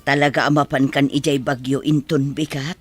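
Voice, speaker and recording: A woman playing a caring, protective village mother in a radio drama, with a husky Ilocano-accented voice. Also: a bass voice, flat delivery, moderate pace, faint music behind. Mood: tender, accepting.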